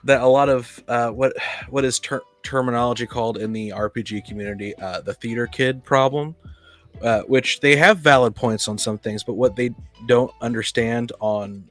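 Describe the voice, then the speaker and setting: A man's voice: medium pitch, steady tone, normal speed, a bit bright. Opinionated man in casual debate, light music behind. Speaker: podcast panelist, experienced tabletop player. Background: background music.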